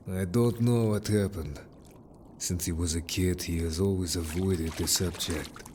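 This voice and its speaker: A man's voice: low voice, darkish tone, slight background noise. A man playing a protective, earnest merman in an audio story, speaking bluntly and a little formally to the listener. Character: gruff, protective male merfolk, loyal patrolling adventurer. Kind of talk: audio drama roleplay addressed to listener.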